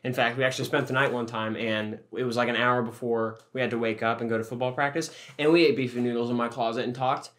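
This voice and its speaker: A man's voice: medium pitch, fairly even intonation, quick pace, few pauses, fairly bright tone. A young man co-hosting a podcast, telling stories in a rambling, joking way and swearing often. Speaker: podcast co-host. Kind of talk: casual chat.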